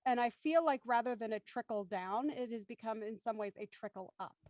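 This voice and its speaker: A woman's voice: on the high side, fairly quick, darkish tone, dry recording. A woman speaking as a panelist in a discussion, articulate and reflective, setting out considered thoughts.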